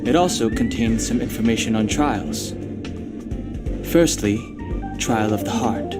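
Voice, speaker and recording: A man voicing a calm, reserved young guard in a video game, measured, aloof and matter-of-fact, over loud music. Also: fairly low pitch, close to an everyday voice. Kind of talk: scripted video game dialogue.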